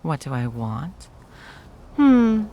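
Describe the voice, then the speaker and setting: A woman playing a possessive, coldly menacing yet cloyingly sweet corporate ex-girlfriend in an intimate ASMR roleplay, taunting with drawn-out endearments and breathy whispers. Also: low voice, big pitch swings, highs cut off, slight background noise, full character voice. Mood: mocking, taunting.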